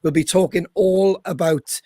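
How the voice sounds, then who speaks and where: Croaky man hosting a casual chat. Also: sits high, steady tone, a little slow, warm tone, no echo, studio quality. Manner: chatty, with frequent ums. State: croaky, hoarse voice. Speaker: band member hosting the band's podcast.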